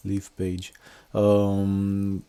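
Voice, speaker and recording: A middle-aged man from Romania talks slowly through a screen walkthrough, pausing often and filling gaps with fillers. His voice is low and mellow. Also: completely flat delivery, clear, resonant tone. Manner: unscripted, hesitant, many fillers. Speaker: software engineer and blogger. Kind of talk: casual screen-recorded walkthrough.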